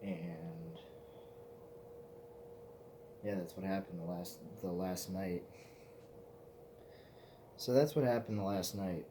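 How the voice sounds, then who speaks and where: A man's voice: low voice, fairly even intonation, slow, pauses often, noisy background. A man in his twenties, hosting his own vlog in a loose, profane, meandering casual chat. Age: 20s. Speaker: vlog host.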